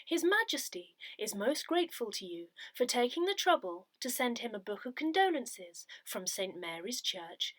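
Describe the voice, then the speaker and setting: Woman reading with very lively intonation. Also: on the high side, no room sound. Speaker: reader of an audio parish newsletter. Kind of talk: scripted narration, read-aloud articles.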